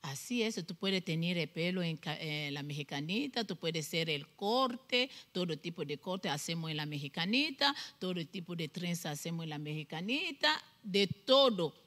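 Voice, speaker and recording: A woman in her forties who grew up in Cuba, speaking, is a salon owner chatting as a guest on a studio talk show. She holds a handheld mic and often bursts into animated emphasis. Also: fairly low pitch, moderate pace, hardly any pauses. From Congo, raised in Cuba. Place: TV studio set.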